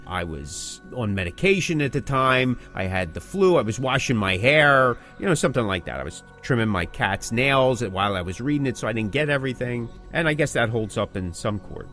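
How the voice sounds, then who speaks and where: A man's voice: mid-range voice, expressive, normal speed, a bit bright. Man talking shop, music behind him. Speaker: podcast co-host and working band musician. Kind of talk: casual podcast talk.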